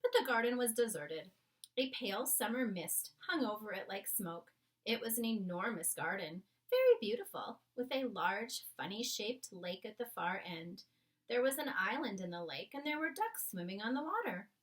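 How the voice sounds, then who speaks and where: A woman reading a storybook aloud as narrator, with dramatic, measured phrasing. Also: medium pitch, very animated.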